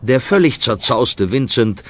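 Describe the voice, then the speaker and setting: A man narrating a scripted children's audio story with dramatic, lively delivery. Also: medium pitch, unhurried. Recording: music behind the voice.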